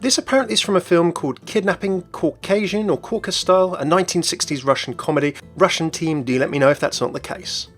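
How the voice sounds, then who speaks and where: Gaming news host, male, slightly high voice, scripted commentary with music underneath. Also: fast, hardly any pauses, a bit bright, husky.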